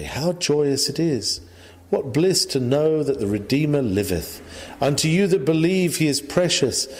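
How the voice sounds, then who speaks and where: A man reading an old sermon aloud in a measured, formal, earnest preaching manner. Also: medium pitch, darkish tone. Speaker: reader of a historical sermon. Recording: long hall-like reverb.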